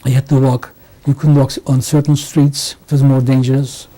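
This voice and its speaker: A man in his sixties from Hungary, giving oral history testimony in a halting way, with many restarts and pauses. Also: medium pitch, level tone, dark tone, low-quality recording. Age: 60s. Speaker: interviewee. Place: home interview.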